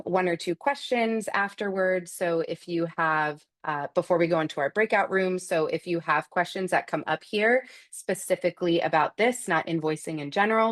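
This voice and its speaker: Low-pitched woman leading a software walkthrough. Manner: explanatory, conversational, addressing attendees directly. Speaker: software product trainer hosting a webinar. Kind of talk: live screen-share walkthrough, instructional.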